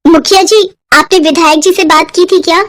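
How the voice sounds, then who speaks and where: A woman's voice: extremely high pitch, fairly even intonation, normal speed, noisy background, pitched above the performer's own voice. A woman voicing a poor village schoolgirl in a moral story, polite, earnest and eager. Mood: earnest, respectful.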